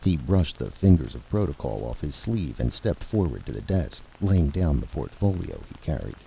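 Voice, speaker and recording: A man's voice: a bass voice, very animated, normal speed, vocal fry. A man delivering dramatic scripted narration in a 1950s radio style. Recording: dull, noisy vintage radio recording.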